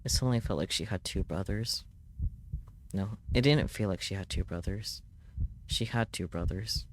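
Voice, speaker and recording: Man, low voice, visual novel read-aloud, dramatic narration, streamer, clearly noisy recording. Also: moderate pace.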